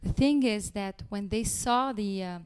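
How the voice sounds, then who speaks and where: A middle-aged woman from Lebanon with a Lebanese accent, chatting as a guest about her business, speaking haltingly with fillers and restarts. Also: mid-range voice, clean tone. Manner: halting, many fillers and restarts, nonstandard grammar. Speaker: guest, chocolate business owner. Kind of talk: casual interview chat.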